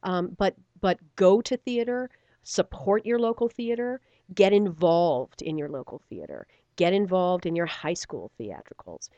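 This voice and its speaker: A woman's voice: lowish voice, lively intonation, unhurried, dark tone, no room sound, highs cut off. A middle-aged woman, a stage actor, chatting animatedly in an interview, laughing often and using many fillers. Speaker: stage actor and theater company member. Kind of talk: radio interview, casual chat.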